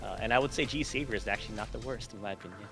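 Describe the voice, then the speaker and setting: Bright-voiced cheerful man, Cantonese native. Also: lowish voice, racing, creaky, soft background music. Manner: cheerful, laughs often. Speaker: guest, anime figure store owner. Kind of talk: casual interview chat.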